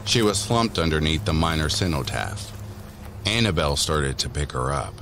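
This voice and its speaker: A man giving measured, deliberate narration for a scripted horror audio drama. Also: rumbling low, animated, fairly bright tone, a little hiss or hum. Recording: voice over background music.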